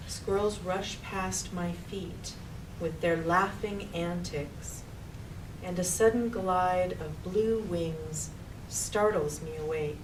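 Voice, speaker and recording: A woman with a slightly low voice recites her poem in short, deliberate phrases at a very slow pace. She sounds distant, with clear noise in the room. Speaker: poet reading own poem. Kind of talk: poetry recitation at a live reading. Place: public library reading room. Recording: echoey room, distant mic, noisy background.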